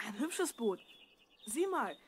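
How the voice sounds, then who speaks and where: A curious twelve-year-old boy played by a woman, with a fairly high voice. He is a slightly cautious young adventurer in a children's radio play. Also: sing-song, takes it slowly, husky, heavily acted. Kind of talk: children's audio drama acting.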